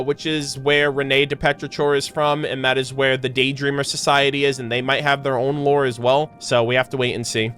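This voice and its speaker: A man's voice: fairly high pitch, a bit bright. A man hosting a gaming reaction, reading lore aloud and commenting on it casually.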